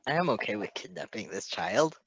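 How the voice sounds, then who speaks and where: A man reading fiction aloud as an expressive narrator. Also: on the low side, fairly quick, breathy edge, dry recording. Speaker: fan fiction reader.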